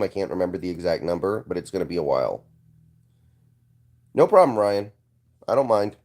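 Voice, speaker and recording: A young man hosting a casual live stream, congested and hoarse, answering viewer comments with an offhand manner and frequent throat clearing. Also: lowish voice, a little mellow.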